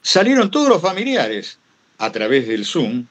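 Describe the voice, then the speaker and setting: A man's voice: a little high, huge pitch swings, moderate pace. A man with a Buenos Aires Argentine accent telling anecdotes at a public talk, digressing and correcting himself as he goes. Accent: Buenos Aires Argentine Spanish. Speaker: interview guest, radio host.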